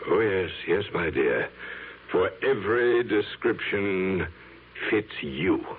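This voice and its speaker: An actor playing an obsessed, grandiose archaeologist in a 1970s radio drama, with florid, declamatory delivery. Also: male voice, low-pitched, lively intonation, slow, husky, full character voice. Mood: enraptured. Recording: muffled, phone-like old radio recording.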